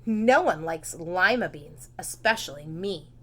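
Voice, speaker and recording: A woman's voice: lowish voice, lively intonation, unhurried, husky. A woman voicing a self-conscious but eager young schoolgirl in a children's storybook, with dramatic expression. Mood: disgusted.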